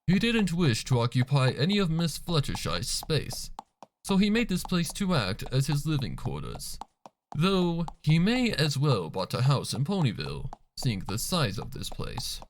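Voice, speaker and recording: Storybook pony butler, male narrator acting: composed, polite, grave, unhurried delivery. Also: huge pitch swings, a little hiss or hum, exaggerated voice. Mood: grave, explaining. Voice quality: low voice. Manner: polite, deferential, measured.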